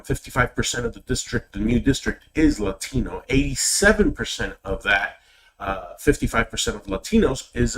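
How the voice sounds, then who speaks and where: A man's voice: on the low side, a bit bright, slight background noise, rough recording. A middle-aged man from Puerto Rico with a Puerto Rican accent, a native Spanish speaker answering as a political candidate, hesitant and repeating words. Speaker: political candidate being interviewed. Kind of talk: interview answers.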